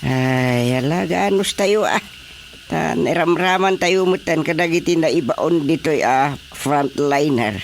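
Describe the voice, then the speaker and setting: An actor playing an excitable male frontline worker in a radio drama, with exclamatory, swooping delivery. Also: on the high side, a little slow, creaky, a little hiss or hum. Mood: earnest, resigned. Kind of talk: Ilocano radio drama acting.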